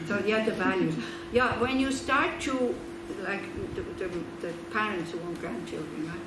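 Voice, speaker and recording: A woman's voice: mid-range voice, normal speed. Woman in a roomy, distant talk. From Switzerland. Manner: chatty, laughing asides, rhetorical questions. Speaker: Buddhist nun giving a talk. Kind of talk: informal teaching talk. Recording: roomy, distant mic, background noise.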